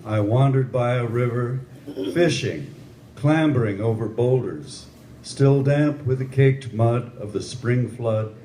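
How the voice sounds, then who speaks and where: An older man reading his poetry aloud and introducing it informally, with a measured, deliberate delivery. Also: medium pitch, warm tone. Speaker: amateur poet. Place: live reading in a room. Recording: roomy, echoing live room with faint noise.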